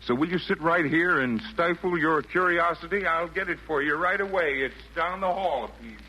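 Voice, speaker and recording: A man's voice: a little high, moderate pace, pushed and bright. An actor in a 1940s radio play voicing a kindly, jolly old man with genial, lilting, theatrical delivery of rhymed verse. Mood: genial, eager. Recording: noisy vintage 1940s radio broadcast.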